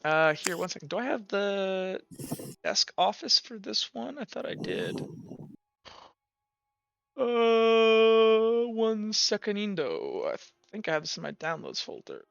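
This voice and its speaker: A man's voice: high voice, lively intonation, slow pace, creaky, a bit bright, clean tone, dry recording, dull audio. A young man narrating a tabletop game as game master over a voice call, rambling with fillers and restarts. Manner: rambling, many fillers and restarts, laughs often. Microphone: home microphone on voice call.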